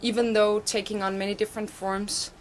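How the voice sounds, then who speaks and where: A woman master's student from Denmark introducing herself hesitantly, with many pauses. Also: medium pitch, little pitch movement, a little hiss or hum, poor audio. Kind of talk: prepared self-introduction.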